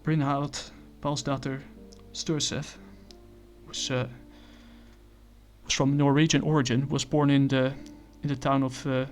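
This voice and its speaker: A man casually reviewing a comic book, talking in a halting way with many fillers and restarts. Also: mid-range voice, level tone, faint music behind.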